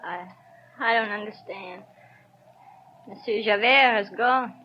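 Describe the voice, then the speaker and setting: An actress playing a frightened, destitute young mother in a 1930s radio drama, pleading and halting as she speaks. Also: mid-range voice, a little hiss or hum, lightly acted. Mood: bewildered, tearful. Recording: dull 1930s radio recording.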